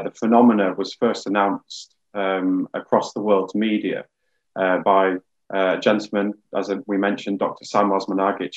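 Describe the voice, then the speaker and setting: A man in his thirties, a geologist guest giving a measured, factual, informal explanation over a video call. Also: fairly low pitch. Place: indoor room. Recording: dull, lo-fi video-call audio.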